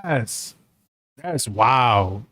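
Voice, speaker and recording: A man's voice: medium pitch, animated, extremely slow, pushed and bright. A man in his thirties, a streamer reacting to a video in casual, mocking, slangy banter. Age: 30s.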